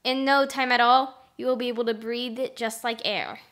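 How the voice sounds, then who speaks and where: A woman acts out a brave storybook turtle, courteous and trusting. The voice is fairly high with a bright, forward edge, and speaks with earnest formality. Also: fairly even intonation, moderate pace, natural voice. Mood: reassuring.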